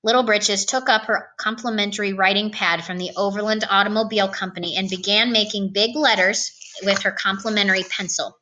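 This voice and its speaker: A woman reading a novel aloud in an expressive storytelling style. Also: mid-range voice, brisk, hardly any pauses, low-quality recording. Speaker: storybook reader.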